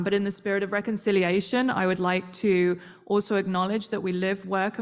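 A woman gives a friendly, measured talk on a video call, dropping the odd um. She has a middle-pitched voice. Her voice carries a long, roomy reverb. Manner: friendly, measured presentation with frequent ums. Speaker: scientific director. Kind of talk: presenting slides on a video call.

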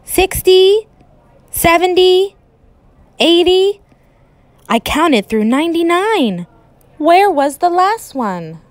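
A woman teacher reading a children's storybook aloud in an expressive, emphatic way with dramatic pauses. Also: very high voice, no echo.

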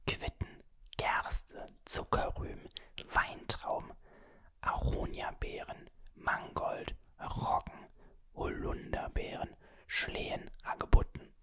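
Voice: slow pace, pushed and bright. A video host whispering the whole time while playing a word-guessing game, listing guesses, musing, and grumbling playfully at the computer. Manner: whispers throughout, guessing words aloud and musing. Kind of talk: whispered gaming commentary.

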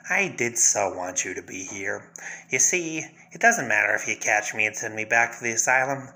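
Crazy clown villain from a comic, bright edgy voice, taunting and erratic. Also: male voice, on the low side, animated, normal speed, heavily acted, rough recording. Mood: gloating. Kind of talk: fan dramatic reading of a comic.

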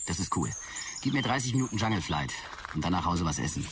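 A man's voice: sits low, big pitch swings, quick pace. An actor playing a jaded, edgy man with a terse, grumbling way of talking in a sci-fi audio drama. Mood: casual. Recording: distant voice, clear background noise.

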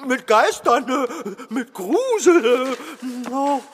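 A childish old ghost from a children's radio play. The voice is high and swings widely in theatrical, drawn-out grumbles. It rings through a noisy, reverberant hall. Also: male voice, sing-song, fairly bright tone, exaggerated voice. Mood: gleeful, musing. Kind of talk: audio drama acting.

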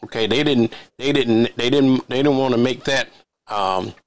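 An older man hosting a television interview over a video call, talking in a rambling, halting way with many restarts. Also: mid-range voice. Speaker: TV show host. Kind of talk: casual interview chat. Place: home office, indoors. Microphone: headset on video call.

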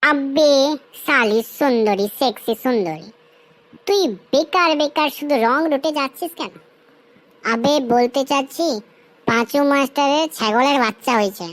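A woman's voice: sits high, moderate pace, natural voice, rough recording. A woman voicing a cheeky, pestering, crude friend in a comedy cartoon, teasing in slangy speech. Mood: gossipy, exasperated. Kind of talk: Bengali comedy cartoon dialogue.

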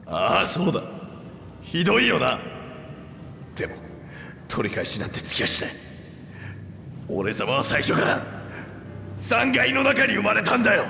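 An actor playing a proud, anguished reptilian warrior with rough, boastful speech in a video game. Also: male voice, sits high, very animated, measured pace, pauses often, bright, forceful tone, slight background noise, full character voice. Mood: bitter. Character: proud two-headed reptilian warrior man, anguished and remorseful. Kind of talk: dramatic video game voice acting. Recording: echoey long reverb under music.

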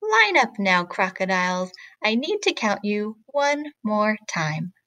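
A clever, sly little female monkey from a storybook, read by a woman. She speaks in bold, sing-song rhymes at an unhurried pace, recorded dry. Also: medium pitch, very animated, sharp tone, dry recording, full character voice. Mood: scolding, cheeky. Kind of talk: storybook character reading.

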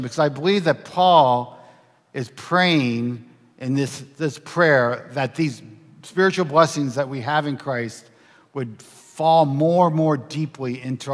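A man's voice: on the high side, fairly bright tone. A middle-aged man preaching a sermon from a pulpit in a church sanctuary, reading scripture, praying, and asking earnest, emphatic questions. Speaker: pastor. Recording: church livestream with long hall reverb.

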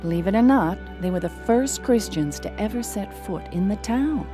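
An actress playing an earnest, gracious middle-aged American missionary singer with a husky voice in a family audio drama. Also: female voice, medium pitch, animated, moderate pace, over music, close to an everyday voice.

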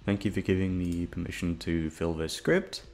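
A man's voice: sits low, fairly even intonation, normal speed, a little mellow. A young man chatting informally to his listeners, meandering and swearing freely. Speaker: audio roleplay voice actor. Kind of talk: casual rambling chat to listeners.

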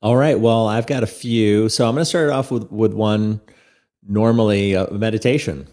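Mellow-voiced man hosting a chat. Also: fairly low pitch, steady tone, normal speed. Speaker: host. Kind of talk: casual chat. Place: small room at home. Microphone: studio mic close up.

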